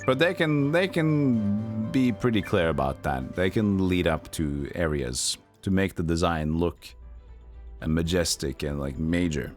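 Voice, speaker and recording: Low-voiced man rambling on a game stream. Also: measured pace, faint music behind. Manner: rambling, musing. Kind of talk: casual live stream commentary.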